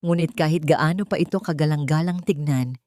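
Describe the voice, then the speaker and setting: A woman's voice: sits low, a little fast, darkish tone, dry recording, studio quality. A woman storyteller reading scripted fiction in a dramatic narration style.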